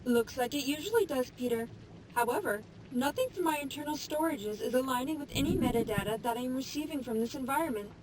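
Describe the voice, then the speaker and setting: A woman playing a calm, polite female AI assistant, speaking in a measured, formal way in a fan-made audio drama. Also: sits high. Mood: calm, apologetic. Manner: measured, formal, matter-of-fact. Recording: noisy recording with rain and traffic behind.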